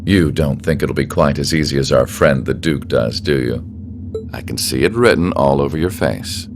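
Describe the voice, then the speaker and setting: An actor playing a cool, refined young noble strategist in a video game, composed and slyly confident. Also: male voice, extremely low pitch, normal speed, music in the background. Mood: knowing. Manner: refined, composed, smoothly commanding. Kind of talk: dramatic video game cutscene acting.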